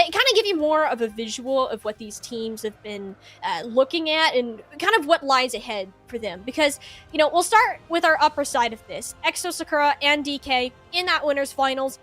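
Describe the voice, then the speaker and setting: A female esports analyst with a high-pitched voice, talking in a chatty, emphatic, informal way. It sounds like live desk commentary, with faint music underneath. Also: a bit bright. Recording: music behind the voice.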